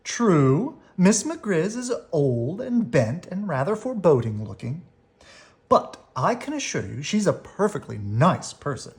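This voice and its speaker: A woman's voice: fairly low pitch, sing-song, unhurried, a little mellow, pitched above the performer's own voice. A woman voicing a reasonable, firm and encouraging mother bear in a children's storybook. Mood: protesting.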